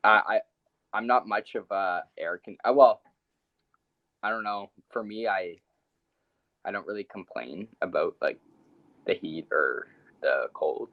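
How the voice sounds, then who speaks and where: Young male podcast co-host, low pitch, lo-fi dry sound, hesitant and laughing. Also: unhurried, long pauses, no room sound. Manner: laughs often, hesitant with many ums. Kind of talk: casual sports podcast chat. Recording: dull, dry podcast audio.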